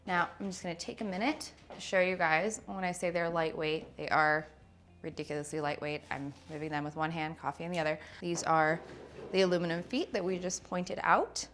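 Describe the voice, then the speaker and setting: A woman's voice: on the low side, steady tone, brisk, a bit bright. A woman in her thirties presenting a product review to camera in a conversational, emphatic way. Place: indoor showroom with wood-slat wall.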